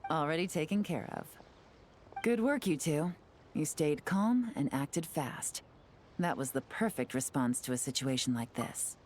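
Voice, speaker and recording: Poised, shrewd, expressive lady agent. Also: deep voice, a little slow, a little hiss or hum. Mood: calm, approving. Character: poised, shrewd, composed woman detective agent. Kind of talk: video game character acting.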